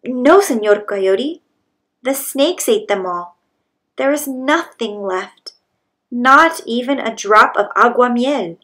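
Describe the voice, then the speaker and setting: A woman's voice: a little high, animated, slow, fairly bright tone. A woman voicing a small, earnest, polite young male rabbit in a children's storybook. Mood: apologetic. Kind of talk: children's storybook read-aloud.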